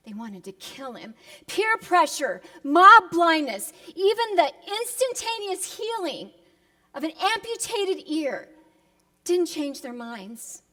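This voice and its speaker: A woman preacher giving a solemn, dramatic reflective sermon, deliberate with emphatic pauses, moving between hushed and impassioned delivery, in a reverberant hall. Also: sits high. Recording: long echoing hall reverb.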